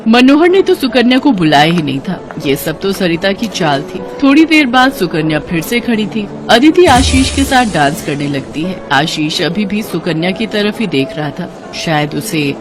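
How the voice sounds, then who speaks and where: A woman narrating a cartoon moral story in a plain, steady scripted delivery. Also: lowish voice, normal speed, few pauses. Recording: music playing behind.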